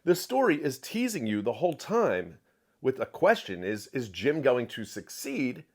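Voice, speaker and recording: Animated man reviewing a book. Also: mid-range voice, measured pace. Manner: emphatic, repeats phrases for stress. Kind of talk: opinionated book review to camera.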